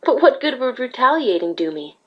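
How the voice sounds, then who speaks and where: A woman voicing a calm, regal alicorn pony ruler in a fan fiction drama, speaking in a measured, formal, patient way. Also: on the high side, muffled recording. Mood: earnest, firm.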